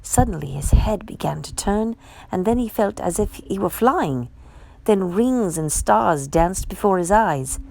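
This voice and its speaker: A woman reading a children's picture book aloud with measured, emphatic storyteller phrasing. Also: medium pitch, expressive, creaky, darkish tone, poor audio. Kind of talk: expressive children's read-aloud narration.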